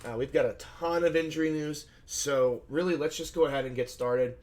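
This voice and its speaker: A man with a hoarse, scratchy voice hosting a solo fantasy basketball preview, talking things through with lots of fillers and self-corrections. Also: on the high side, normal speed, a little mellow. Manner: conversational, filler-heavy, thinks aloud and self-corrects. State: hoarse, scratchy voice from shouting at a game. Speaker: fantasy basketball analyst host. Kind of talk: solo sports betting slate breakdown.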